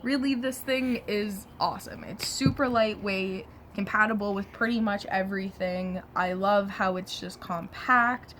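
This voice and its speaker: A woman's voice: mid-range voice, measured pace, few pauses, a bit bright, a little hiss or hum. A woman giving a casual, chatty hands-on product demo, correcting herself as she goes. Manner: informal, chatty, self-correcting. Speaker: product reviewer.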